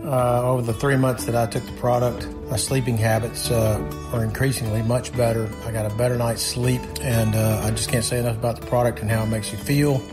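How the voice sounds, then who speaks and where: A man giving a halting customer testimonial, pausing with many fillers. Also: medium pitch, little pitch movement, over music. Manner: halting, lots of uh.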